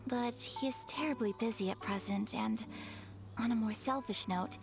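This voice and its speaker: A woman's voice: on the high side, normal speed, lightly acted. A woman voicing a gracious, formal young noblewoman in a video game, speaking politely and composedly. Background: game music.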